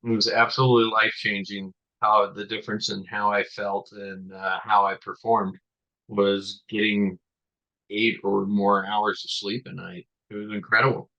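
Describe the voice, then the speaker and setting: A middle-aged man hosts an interview, his voice bright and forceful but fairly low. He talks in a chatty way and asks long, winding questions. The sound is a little dull and lo-fi. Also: flat delivery, moderate pace, vocal fry. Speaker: podcast host. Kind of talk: conversational interview.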